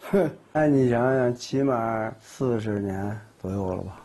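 A man, plainly explaining his woodworking craft lively emphasis in a documentary interview. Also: on the low side, slow, husky. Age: 60s. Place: cluttered indoor workshop. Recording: documentary music underneath.

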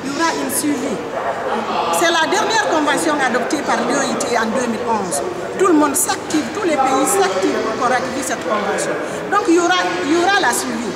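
High-voiced woman over a loud crowd. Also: expressive, fairly quick, low-quality recording. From Guinea. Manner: earnest, insistent, repeats key phrases. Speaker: trade union leader. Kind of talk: press interview answers. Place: indoor public building, crowded. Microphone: handheld reporter mic held close. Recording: loud crowd chatter behind.

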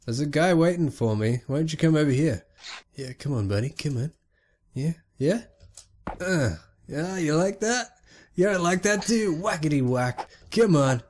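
A sick young man giving casual, excitable let's play commentary on a retro game, swearing often and talking to the characters on screen. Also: fairly high pitch, moderate pace. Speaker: gaming YouTuber.

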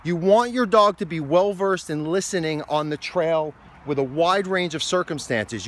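American man, dog trainer, explaining and giving his dog commands outdoors; slightly high voice. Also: fairly bright tone, a little hiss or hum. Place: outdoor hiking trail.

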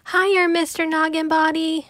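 A woman's voice: very high-pitched, little pitch movement, moderate pace, fairly bright tone, no echo, clean studio sound, voice pushed up. A woman voicing a mischievous, whiny little child with taunting exclamations in a children's storybook. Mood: excited. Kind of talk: children's storybook read-aloud.

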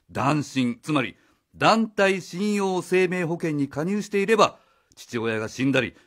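A man playing a blunt, cynical needler in a radio drama, arguing with sarcasm. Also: a little high. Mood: impatient.

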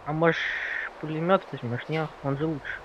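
A man's voice: a little high, fairly quick, fairly bright tone, highs cut off, slight background noise. A man talking casually with his teammates as they play a game. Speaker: gamer in a group session. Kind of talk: casual gaming chat.

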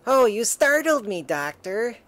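Woman acting a high-voiced, anxious young comic-book woman. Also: sing-song, unhurried, fairly bright tone. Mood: worried. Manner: melodramatic. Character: anxious, tender young mother and sympathetic women in a comic.

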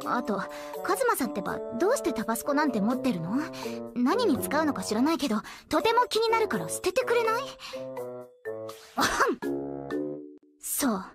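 Anime audio drama role: vain, whiny goddess, very high voice, wildly animated, haughty. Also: female voice, over music. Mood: defensive, reminiscing. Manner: haughty, dramatic, quick to plead.

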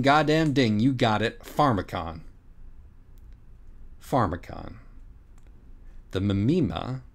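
A man reading an audiobook as a dramatic rant, emphatic and sardonic in manner. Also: mid-range voice. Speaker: audiobook reader. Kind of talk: dramatic first-person reading of a mock-philosophical rant.

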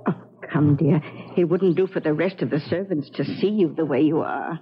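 A woman's voice: low voice, normal speed, slight background noise, lightly acted. An actress playing an affectionate, conspiratorial maidservant in a 1970s radio drama, motherly and deferential. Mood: fussing, coaxing. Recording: slightly dull 1970s radio broadcast.

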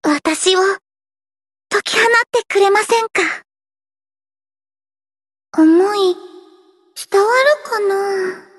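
A woman voicing a teenage gothic idol girl in a Japanese game, grandiose and sweetly shy, switching between pompous declarations and soft plain talk. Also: very high-pitched, very slow, long pauses, no echo, studio quality, heavily acted. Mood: shy, wistful.

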